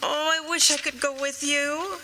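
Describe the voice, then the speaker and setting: Radio drama, actress as insistent young fiancée: high, piercingly bright, cooing, in a reverberant hall. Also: female voice, fairly even intonation, normal speed, a little hiss or hum. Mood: sweet. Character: clingy, adoring young fiancée, sweetly insistent. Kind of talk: old-time radio play acting. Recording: stage performance in reverberant hall.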